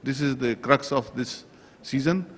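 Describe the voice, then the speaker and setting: A man chairing a conference session, speaking slowly and deliberately, listing points with pauses. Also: medium pitch, a little hiss or hum. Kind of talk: formal conference address. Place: large conference hall. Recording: reverberant conference hall.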